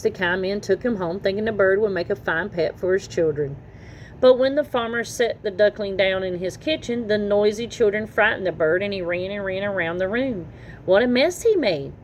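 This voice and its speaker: Woman reading a storybook, noisy recording. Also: mid-range voice. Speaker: children's story reader and channel host. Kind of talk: expressive read-aloud storybook narration. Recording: noticeable background noise.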